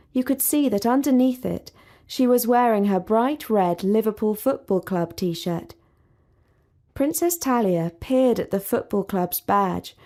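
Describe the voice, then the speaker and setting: A woman narrating a storybook for English learners, reading in a clear, measured way. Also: a little high, dark tone.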